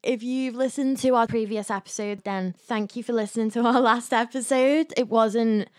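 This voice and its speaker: A woman's voice: on the high side, steady tone, moderate pace, dry recording. Chatty female podcast host, dry sound. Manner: informal, chatty. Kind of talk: casual conversational presenting.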